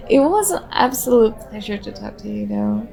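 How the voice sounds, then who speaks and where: A woman acting as a shy young stranger in an intimate ASMR roleplay. She is nervous and self-conscious, rambling in hesitant, overthinking turns. Her mid-pitched voice often drops into a crackly fry. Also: mellow. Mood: flustered, friendly.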